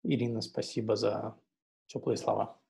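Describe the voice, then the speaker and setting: A man's voice: fairly low pitch, fairly even intonation, normal speed, vocal fry, warm tone, husky. A man in his thirties giving an informal, explanatory webinar presentation over an earphone headset in a room. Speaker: webinar presenter for a travel audio guide platform. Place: indoor room at home or office, seated. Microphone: wired earphone headset on a video call.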